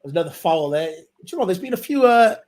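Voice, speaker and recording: A Welsh man co-hosting a football watchalong, calling the play in passing and ribbing his co-host. His voice sits somewhat high for a man. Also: sing-song, darkish tone, a little hiss or hum. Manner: teasing, quick banter. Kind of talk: casual live match commentary and chat.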